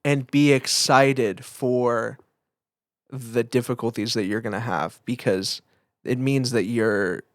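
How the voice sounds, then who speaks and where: A man's voice: medium pitch, steady tone, slow, no room sound. A man co-hosting a podcast in casual chat, informal and searching for his words, speaking into a close microphone. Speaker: podcast co-host.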